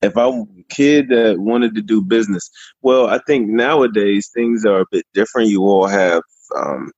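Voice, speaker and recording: Deep-voiced man, easygoing interview guest. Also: a little mellow, slightly rough, no room sound, lo-fi sound. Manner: easygoing, measured, with frequent pauses. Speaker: podcast guest. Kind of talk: casual interview answers.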